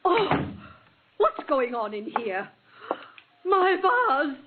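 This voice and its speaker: An actress playing a haughty, scandalized rich wife in an old 1940s radio drama. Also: female voice, high-pitched, sing-song, very slow, exaggerated voice. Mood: outraged. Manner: imperious, scandalized. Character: indignant, haughty wealthy married lady. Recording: tinny, crackly old radio broadcast.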